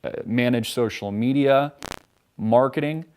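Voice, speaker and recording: A man's voice: mid-range voice, a little slow, a little mellow. A man hosting a show, delivering a sarcastic, profane, mocking rant as a monologue. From United States. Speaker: show host. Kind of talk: ranting political monologue.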